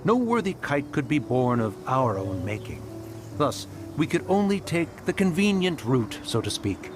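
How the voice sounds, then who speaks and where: A man's voice: mid-range voice, sing-song, moderate pace, over music, natural voice. A man voicing a dignified ancient male spirit with formal, old-fashioned speech in a video game. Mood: wry.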